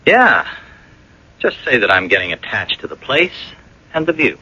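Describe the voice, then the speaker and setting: An actor playing a smug, insolent blackmailer with slangy, taunting talk in a radio drama. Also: male voice, medium pitch, sing-song, normal speed, pushed and bright, husky, heavily acted. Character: smug, insolent male blackmailer sponging money from a woman. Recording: dull, lo-fi old radio recording.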